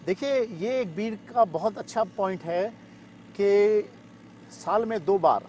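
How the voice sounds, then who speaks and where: A middle-aged man gives interview answers in a fairly high voice. He mixes English terms into his speech, recorded in an open field with clear outdoor noise around him. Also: slow pace, a little mellow. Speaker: paragliding pilot and event weather expert, interview guest. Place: open grassy field in the mountains.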